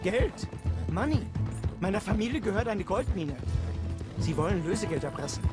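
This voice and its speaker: A man's voice: high-pitched, expressive, moderate pace, over loud music. A man with a German accent playing a grateful, flustered family man in a western game, effusive and earnest. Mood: anxious, grateful. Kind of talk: cinematic western game acting.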